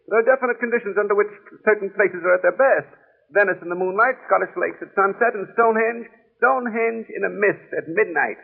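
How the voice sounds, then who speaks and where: An actor playing a brisk, eccentric, self-assured gentleman detective in 1930s radio style, wry and theatrical, with a husky, gravelly voice. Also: sits high. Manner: repeats phrases, theatrical, wry. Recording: muffled, phone-like 1930s radio recording.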